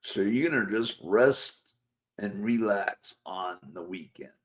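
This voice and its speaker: A man's voice: lowish voice, slow pace. A man hosting a casual live chat show, losing his voice, rambling and reading out viewer comments. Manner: rambling, chatty, reads viewer comments aloud. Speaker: host.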